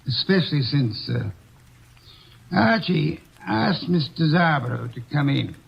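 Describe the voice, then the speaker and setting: An actor playing a portly, unflappable older detective who speaks in a measured, pompous way, in 1940s radio acting. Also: male voice, a little high, lively intonation, vocal fry, slightly rough, exaggerated voice. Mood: smug. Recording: dull old radio broadcast recording.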